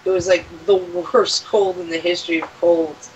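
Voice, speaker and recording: A woman's voice: fairly low pitch, little pitch movement, moderate pace, recorded from a distance, lo-fi sound, slight background noise. A woman chatting casually on a vlog, laid-back and giggly. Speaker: vlog co-host. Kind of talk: casual chat.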